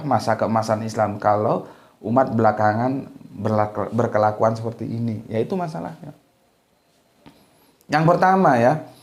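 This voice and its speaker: A man's voice: mid-range voice, darkish tone. A man from Sumatra giving an informal religious lecture, talking loosely and tagging many sentences with a short "ya". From Sumatra, Indonesia. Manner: loose, conversational, with frequent "ya" tags and restarts. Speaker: religious teacher.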